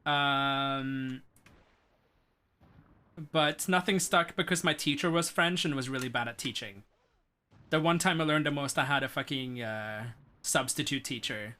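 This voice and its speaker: A man's voice: medium pitch, unhurried, sharp tone. A man with a Norwegian accent chatting casually on stream, rambling with lots of fillers. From Norway. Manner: rambling, filler-heavy, laid-back. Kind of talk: casual chat during a speedrun stream.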